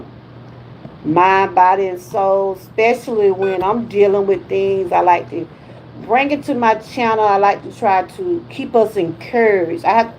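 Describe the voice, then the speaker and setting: A woman's voice: mid-range voice, vocal fry, a little mellow, a little hiss or hum, rough recording. A woman in her fifties with a Southern US accent, chatting casually on her wellness livestream with folksy exclamations. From Georgia, USA. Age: 50s. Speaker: wellness vlogger.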